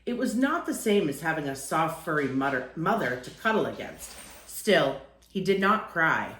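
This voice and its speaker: A woman's voice: low-pitched, very animated, moderate pace, a bit bright, echoey room, poor audio. A woman reading a children's picture book aloud as a storyteller, acting out phrases and lingering on key words.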